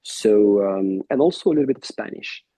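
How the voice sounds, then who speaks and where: Husky, reflective Belgian-raised man. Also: on the low side, expressive, fairly quick, no room sound. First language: Arabic. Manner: warm, reflective, many restarts and filler words. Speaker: guest. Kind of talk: casual interview chat.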